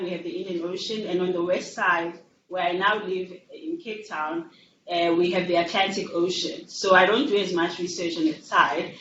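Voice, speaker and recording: A woman from South Africa with a South African accent, giving an academic webinar talk in a plain-spoken, explanatory manner as a university researcher. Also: on the low side. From Durban, South Africa. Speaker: university faculty member, researcher. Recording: roomy, distant, muffled, faint noise.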